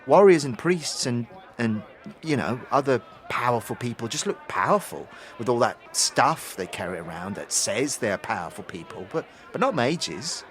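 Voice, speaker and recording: A man's voice: mid-range voice, very animated, moderate pace, close to an everyday voice. A man voicing a shy, lonely, eager young woman who rambles and stammers in a fantasy audio drama. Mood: eager, rambling. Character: shy, lonely, chatty, eager young female market girl.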